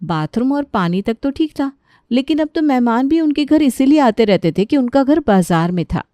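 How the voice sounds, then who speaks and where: Casting: female storyteller for scripted cartoon narration, fairly high, dry close sound. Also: expressive, moderate pace, warm tone, no echo.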